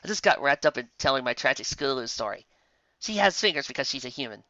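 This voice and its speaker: Storybook mare, performed by a man: raised pitch, theatrical, gushing and odd, slightly dull recording. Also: lively intonation, fast, bright, forceful tone, no echo. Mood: breezy, sheepish. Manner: breathy dreamy sighing, theatrical. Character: gushing, eccentric female pony obsessed with human hands.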